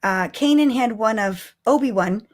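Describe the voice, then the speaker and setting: A woman's voice: fairly high pitch, animated, unhurried. Woman in casual, emphatic fan discussion. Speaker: podcast co-host.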